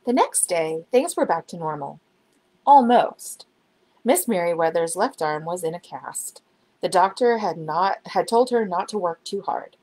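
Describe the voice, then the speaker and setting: A woman reading a children's storybook aloud in an expressive, dramatic storytelling manner. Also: on the low side, a little slow, rough recording. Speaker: children's book reader. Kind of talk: storybook narration, read aloud.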